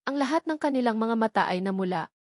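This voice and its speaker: A woman's voice: fairly high pitch, animated, quick pace, dry recording, studio quality. A woman reading a scripted story as an audiobook narrator.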